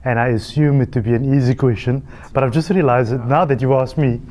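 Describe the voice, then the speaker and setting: South african guy, late 30s, easygoing chat, laughs a lot, soft warm tone. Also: medium pitch, fairly quick. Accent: South African English. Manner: chatty, laughs often, restarts with "so, so". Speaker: TV channel CEO, guest. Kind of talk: casual chat.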